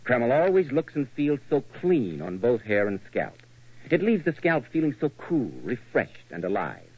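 A man's voice: mid-range voice, big pitch swings, moderate pace, husky. A man with an American accent announcing and reading advertisements in polished 1940s radio style. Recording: muffled old radio recording. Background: music.

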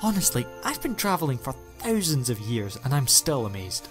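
A man's voice: mid-range voice, big pitch swings, normal speed, faint music behind, close to an everyday voice. An actor playing an eccentric, ancient male alien time traveller, enthusiastic and playfully self-mocking, in a fan audio drama.

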